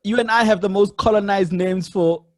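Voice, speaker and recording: A man's voice: high voice, steady tone, normal speed. A man with a South African accent hosting a casual podcast interview, often chiming in with brief agreement. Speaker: podcast host.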